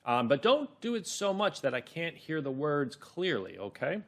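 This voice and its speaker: A man's voice: fairly high pitch, very animated, normal speed, a bit bright. A man leading a read-along lesson for children, explaining things simply and expressively.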